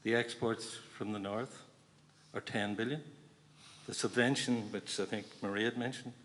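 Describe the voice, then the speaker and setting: A man's voice: fairly low pitch, fairly even intonation, normal speed, fairly bright tone, a little hiss or hum. A man speaking from the floor at a public meeting, listing figures point by point. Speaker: audience member. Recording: long hall reverb.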